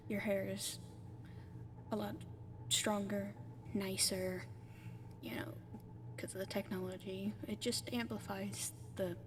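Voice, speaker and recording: A woman voicing a gentle giant alien in an ASMR roleplay, speaking softly and often whispering, in a hesitant, halting, explaining way. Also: medium pitch, background noise, close to an everyday voice. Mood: gentle, apologetic. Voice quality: soft, breathy, often whispered. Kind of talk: ASMR roleplay addressed to the listener.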